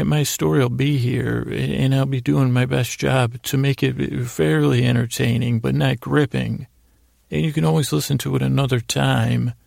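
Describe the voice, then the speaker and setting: A man hosting a sleep podcast in a gravelly voice, meandering and halting through gently absurd bedtime monologue, repeating himself and drifting into digressions. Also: medium pitch, dry recording, clean studio sound. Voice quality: gravelly, creaky voice. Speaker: podcast host.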